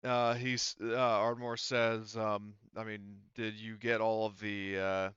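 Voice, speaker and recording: A man acting as game master, improvising narration for a tabletop roleplaying game with halting, hesitant phrasing. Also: lowish voice, little pitch movement, fairly bright tone, clear, resonant tone, no echo, lo-fi sound.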